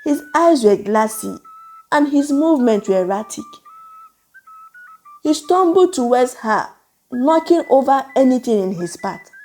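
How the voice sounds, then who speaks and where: A woman narrating a scripted folktale in a measured, suspenseful, dramatic way. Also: high-pitched, animated, darkish tone, soft background music, low-quality recording. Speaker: storyteller, narrator.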